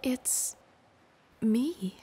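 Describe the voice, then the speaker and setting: A woman playing a proud, self-absorbed young bride in an animated film. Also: extremely slow, background noise. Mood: earnest.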